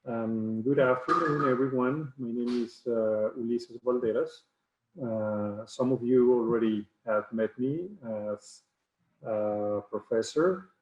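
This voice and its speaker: This is a middle-aged man with a Mexican accent whose first language is Spanish. He introduces himself at an unhurried pace, pausing and hesitating often. His voice comes over a video call, slightly dull and with plenty of room around it. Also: mid-range voice, a little mellow, slight background noise. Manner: halting, with frequent pauses and hesitations. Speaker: professor on a panel. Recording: roomy, muffled video-call audio.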